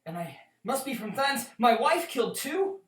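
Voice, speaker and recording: A woman's voice: a little high, very animated, moderate pace. A woman acting a bereaved noble warrior in a Shakespearean radio play, with impassioned, dramatic swings of delivery, in a roomy, slightly distant recording. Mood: stunned grief.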